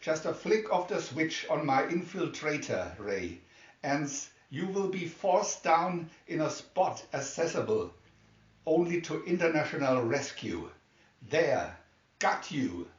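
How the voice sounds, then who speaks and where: A man's voice: on the high side, sing-song, slow pace. A man voicing a scheming arch villain, gloating and menacing with a hiss. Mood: triumphant. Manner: hissing, menacing. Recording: muffled, echoey room, distant mic.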